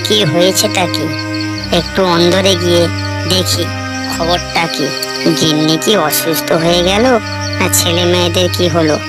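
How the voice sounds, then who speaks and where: Cartoon landlord, proud and commanding, played by a woman, lowish voice, prominent music bed. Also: natural voice. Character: proud, anxious male zamindar, head of household. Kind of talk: Bengali cartoon story dialogue. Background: loud background music.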